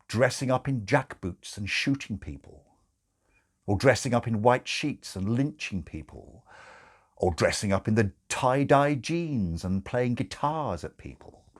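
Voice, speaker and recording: A man narrating a comic audiobook in a wry, theatrical storytelling manner. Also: medium pitch.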